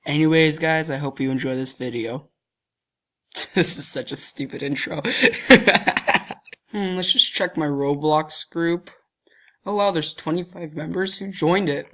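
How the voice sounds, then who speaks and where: A man's voice: fairly high pitch, measured pace, long pauses, fairly bright tone. A young man with a cold and a stuffy voice, giggling mischievously as he talks through a screen-recorded game and thinks aloud while clicking around. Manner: giggly, mischievous, often breaks into laughter. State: has a cold, stuffy deeper voice. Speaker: gaming channel host. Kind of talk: casual screen-recording commentary.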